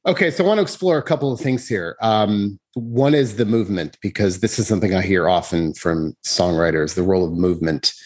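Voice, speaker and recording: A man's voice: sits low, a little fast, hardly any pauses, darkish tone. A man hosting a conversational interview, inquisitive and rephrasing his questions as he goes. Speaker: interviewer, host.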